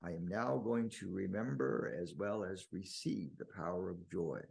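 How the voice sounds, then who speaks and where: A man leading a guided meditation in slow, deliberate phrases with long pauses and a husky, gravelly voice. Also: low voice, a little mellow.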